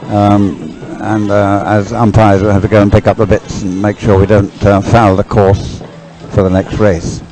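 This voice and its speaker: A man's voice: on the low side, flat delivery, moderate pace, few pauses, low-quality recording. An older man giving unscripted live race commentary in a hesitant, musing, explanatory way.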